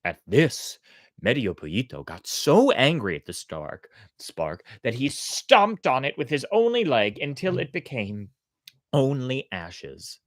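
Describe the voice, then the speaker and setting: An expressive storyteller narrates at a slow, deliberate pace with very lively intonation. The delivery is theatrical, and the sound is close and dry. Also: mid-range voice, dry recording. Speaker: storyteller, host.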